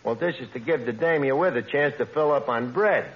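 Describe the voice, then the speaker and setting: An actor playing a brash, scheming, lowbrow tavern manager with a New York accent and would-be fancy words, in 1940s radio comedy style. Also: male voice, a little fast, natural voice. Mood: scheming, knowing. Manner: mangled grammar with pretentious fancy words, hemming. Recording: dull old radio recording, noisy.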